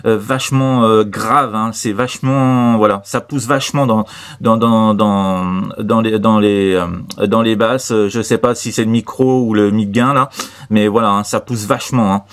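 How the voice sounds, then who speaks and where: Halting man on casual radio chat. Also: fairly low pitch, fairly even intonation, smooth. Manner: repetitive, halting, peppered with verbal tags. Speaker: amateur CB radio operator.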